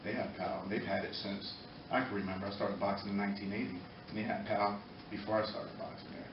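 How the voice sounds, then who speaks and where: A middle-aged American man giving earnest, halting, impromptu testimony at a public meeting, pausing between short phrases. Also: lowish voice, little pitch movement. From Norwalk, Connecticut, United States. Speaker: former boxer speaking in support of a youth program. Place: indoor meeting room, far from the mic. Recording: echoey, muffled, distant, noisy room recording.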